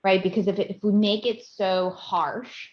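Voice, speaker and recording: A woman's voice: medium pitch, steady tone, moderate pace, muffled recording, a little hiss or hum. A woman on a discussion panel, thoughtful, who often repeats words as she restarts.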